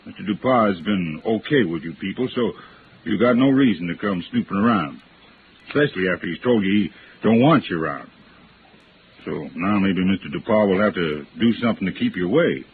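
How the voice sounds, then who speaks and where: Hissy, narrow old radio sound frames a bullying heavy. His voice is fairly low, and he is gruff, suspicious and bossy, with menace in the way he talks. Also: lively intonation, brisk. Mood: menacing. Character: large, bullying, suspicious male henchman. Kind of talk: old-time radio villain acting. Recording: noisy, narrow-band old radio recording.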